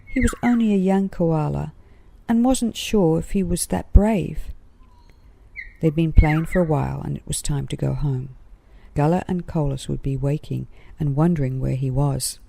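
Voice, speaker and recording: A woman's voice: sits low, vocal fry, mellow, no echo. A woman narrating a children's story in a scripted read-aloud style.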